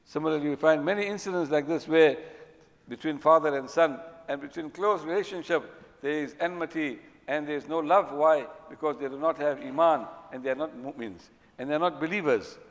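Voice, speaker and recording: Fast-talking male preacher in an echoey hall. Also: fairly high pitch. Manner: emphatic, repeats phrases for stress. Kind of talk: religious sermon. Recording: echoey hall with long reverb.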